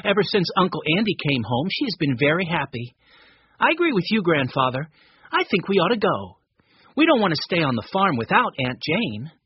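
A man's voice: a little high, sing-song, fairly quick, husky, no room sound, lo-fi sound, heavily acted. A man voicing a cheerful, sensible older girl in a storybook reading.

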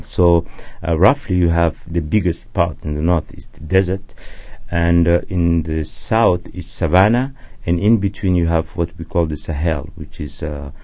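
A man from Chad whose first language is Arabic, a journalist speaking as a guest in a measured way, often pausing to search for words. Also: low-pitched, creaky, mellow, dry recording. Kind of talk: casual explanatory talk.

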